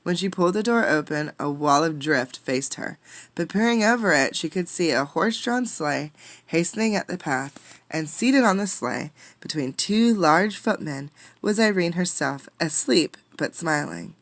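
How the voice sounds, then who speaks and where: A woman reading a picture book aloud with dramatic, emphatic delivery, pausing to put questions to listeners. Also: lowish voice, expressive, no room sound. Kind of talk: expressive storybook read-aloud with questions to listeners.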